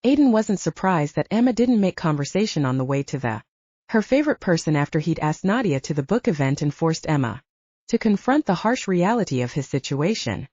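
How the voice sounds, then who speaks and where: A woman's voice: low voice, sing-song, a little fast, warm tone, dry recording, dull audio. A woman narrating a scripted novel as an audiobook reader.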